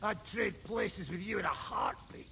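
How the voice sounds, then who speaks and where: An actor playing a sardonic, foul-mouthed medieval fighter in a video game, with a gravelly voice. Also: male voice, sits high, measured pace, bright, forceful tone, heavily acted. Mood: bitter, wry. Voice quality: gravelly, creaky. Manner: sardonic, coarse, swearing. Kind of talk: video game drama acting. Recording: clear background noise and ambience.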